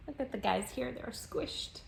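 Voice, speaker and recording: A woman reading a picture book aloud to children in an expressive, affectionate, playful way as a storytime host. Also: a little high, a little slow, vocal fry, slight background noise. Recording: roomy echo, faint music behind. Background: faint music.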